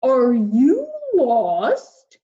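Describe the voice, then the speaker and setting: A woman voicing a large, friendly blue whale in a children's storybook, with drawn-out, emphatic delivery. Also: on the high side, wildly swooping pitch, mellow, exaggerated voice. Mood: helpful, confident. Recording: roomy echo, slightly dull sound.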